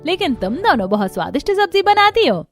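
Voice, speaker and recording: A woman's voice: high voice, very animated, a little fast, soft background music. A woman voicing a bossy middle-aged mother-in-law in a Hindi family cartoon. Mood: pleased.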